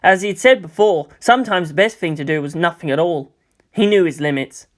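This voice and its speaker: A man's voice: on the high side, a little fast. A man reading scripted fiction aloud as a storyteller, in the style of an audiobook narrator.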